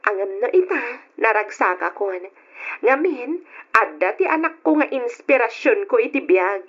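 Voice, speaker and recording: A woman's voice: a little high, sing-song, normal speed. A woman playing a devoted, hopeful young single mother with an Ilocano accent, earnest and emotional in an old-time radio drama. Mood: happy, earnest. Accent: Ilocano, from the Philippines. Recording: dull lo-fi old radio recording.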